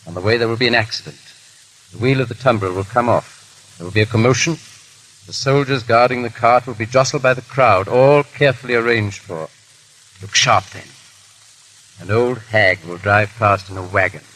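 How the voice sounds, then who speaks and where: Hushed, low-voiced priest. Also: male voice, poor audio. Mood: secretive, urgent. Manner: hushed, deliberate. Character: calm, secretive old priest, a hero in disguise. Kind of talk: 1930s radio acting. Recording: hissy 1930s radio broadcast.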